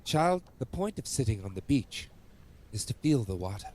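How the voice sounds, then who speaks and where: An actor plays a child's guardian in an audio drama. He is patient and dryly teasing, with formal diction and an expressive mid-pitched voice over faint background noise. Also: normal speed, lightly acted.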